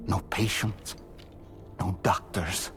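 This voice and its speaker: A man playing a wry, grieving puppet in a video game, speaking eloquently like a measured storyteller. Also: low voice, steady tone, music in the background. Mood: somber, reflective. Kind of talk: video game cutscene acting.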